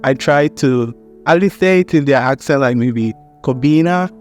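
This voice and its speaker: A man from Ghana, a graduate student, talking in a relaxed interview chat. He laughs, says "like" a lot and acts out little quotes. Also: medium pitch, moderate pace, faint music behind.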